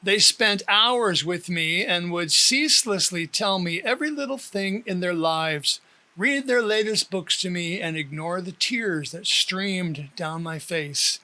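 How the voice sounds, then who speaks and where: Author-narrator: man with a high, bright voice, expressive scripted first-person storytelling. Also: a little slow. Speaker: author reading own audiobook.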